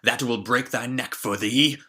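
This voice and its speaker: Man acting out a storybook bride, imperious, indignant, ugly-tempered, sharp bright tone. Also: mid-range voice, measured pace. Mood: angry, demanding. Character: haughty, ugly, short-tempered false bride. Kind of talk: storybook character reading.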